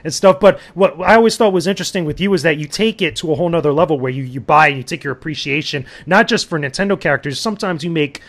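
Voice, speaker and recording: A man's voice: a little high, fast talker, few pauses, a bit bright. A man hosting a casual interview podcast, hyped and enthusiastic, using slangy phrases. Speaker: podcast host.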